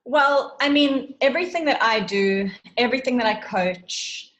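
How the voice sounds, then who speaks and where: A woman's voice: on the high side, moderate pace, fairly bright tone, dull audio. A woman with a South African accent explaining her work as a coach in an earnest, emphatic, conversational way. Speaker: interview guest, self-love and confidence coach.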